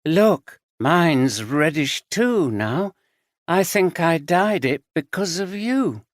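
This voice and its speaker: Guy doing a creepy unhinged auntie, high and swooping, dreamy then snappy, dry close mic. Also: expressive, takes it slowly, no echo. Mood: eerie tender. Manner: dreamy, then pleading and sharp. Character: unhinged middle-aged woman with a knife.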